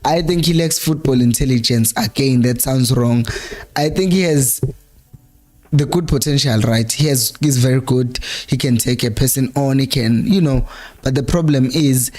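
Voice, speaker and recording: A South African man hosting a fan live stream, talking casually about football, laughing often, his voice going hoarse. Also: mid-range voice, moderate pace, a little mellow. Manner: chatty, laughs often, switches between languages mid-sentence. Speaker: fan channel host.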